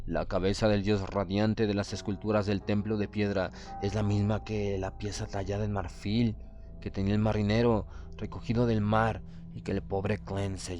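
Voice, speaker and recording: A man narrating a horror audiobook in the first person, with a measured, solemn and literary delivery. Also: on the low side, a little mellow.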